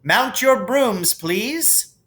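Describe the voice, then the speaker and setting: A man voicing a brisk, stern female referee from a storybook in a clipped, commanding manner. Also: very high voice, expressive, bright, forceful tone, pitched above the performer's own voice, full character voice. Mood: firm.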